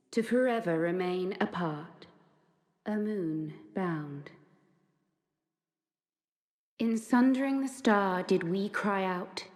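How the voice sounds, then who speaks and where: A woman playing an ancient goddess of light in a fantasy game, solemn, maternal and sorrowful, speaking in grave archaic phrases. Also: on the low side. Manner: grave, archaic, measured phrasing with weighty pauses. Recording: long cavernous reverb.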